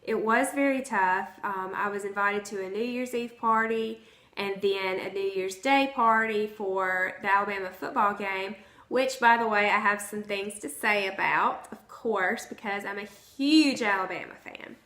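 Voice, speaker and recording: A hoarse woman, chatting casually to her vlog audience from home. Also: mid-range voice, normal speed, bright, forceful tone. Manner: chatty, conversational. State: hoarse from the flu. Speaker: vlogger. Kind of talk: casual chat to camera. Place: at home, indoors. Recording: roomy echo.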